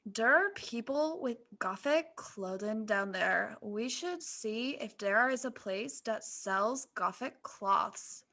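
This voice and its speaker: A goth teenage girl played by a woman in a parody fan fiction. Her voice is bright and fairly high, and she draws out her lines slowly. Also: animated, heavily acted. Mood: eager.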